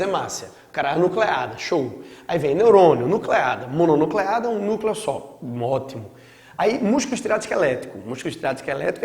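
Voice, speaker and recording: A hoarse man with a Brazilian Portuguese accent, teaching a casual biology lecture and often checking in with his students. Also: a little high, a little mellow. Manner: chatty, with frequent check-in tags. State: hoarse from a sore throat. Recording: echoey room with long reverb.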